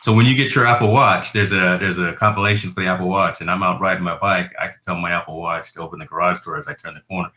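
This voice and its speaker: Deep-voiced man in an echoey room. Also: fast, few pauses. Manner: relaxed, rambling, with restarts. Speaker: host. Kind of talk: casual tech chat on a call.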